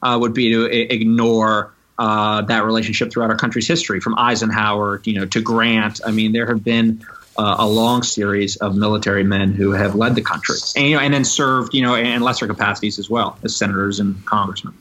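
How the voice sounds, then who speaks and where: A man answering interview questions as a guest, thoughtful and measured, with many "you know" fillers. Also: on the low side, level tone, bright, forceful tone. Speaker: podcast guest, novelist and former Marine.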